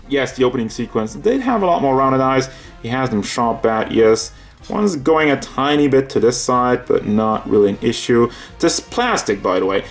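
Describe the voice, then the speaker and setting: Male collectibles reviewer, mid-range voice, off-the-cuff and conversational, picks at small details, music underneath. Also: medium pitch. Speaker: toy figure reviewer. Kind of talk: casual unscripted product review. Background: background music.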